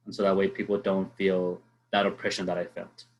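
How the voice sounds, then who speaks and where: A man from Mexico answering as a student panelist, earnest and halting with fillers. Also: sits low, completely flat delivery, rough recording.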